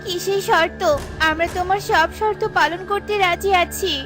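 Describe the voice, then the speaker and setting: A woman's voice: extremely high pitch, steady tone, moderate pace. A woman voicing a stern, commanding old witch in a cartoon who scolds trespassers and sets them a task. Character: stern but fair old witch, robbed of her wand. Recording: with light background music.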